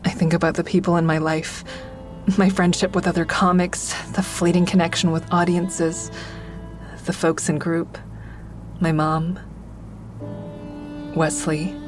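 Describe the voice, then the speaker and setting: A woman's voice: fairly low pitch, little pitch movement, measured pace, long pauses, soft background music, natural voice. An actress in an audio drama playing a guarded, self-doubting, caring woman, reflective and hesitant in dialogue and first-person narration. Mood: reflective, sad.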